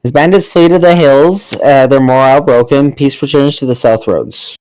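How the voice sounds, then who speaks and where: A man's voice: mid-range voice, normal speed, a little mellow, clear, resonant tone, no room sound, low-quality recording. A man playing a party game with friends, reading story narration aloud in between casual remarks. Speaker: player reading narration and his character's lines.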